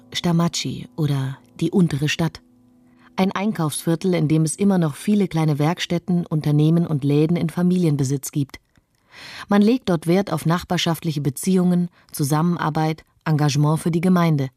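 A woman's voice: deep voice, normal speed, warm tone, no room sound. A woman playing a community-minded city resident, giving descriptive testimony in a radio play. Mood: calm, descriptive. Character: community-minded resident of old downtown Tokyo.

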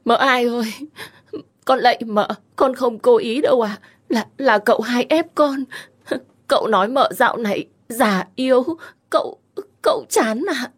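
Man doing groveling young maid, high falsetto, sobbing pleas, husky tone. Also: measured pace, a bit bright, full character voice. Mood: wailing plea. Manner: sobbing, pleading. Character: young female servant, wailing and groveling.

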